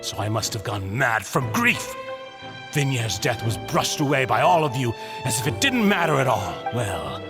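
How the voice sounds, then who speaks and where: A grief-crazed older villain from a video game, speaks in a theatrical, accusing way. His mid-pitched voice swings widely over clear background music. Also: very animated, normal speed. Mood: bitter, accusing. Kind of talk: dramatic video game voice acting.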